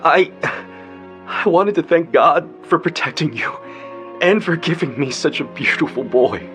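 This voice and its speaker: An actor playing a devoted wealthy husband in a historical radio drama, tender and stammering. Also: male voice, on the high side, expressive, measured pace, music in the background. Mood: reverent.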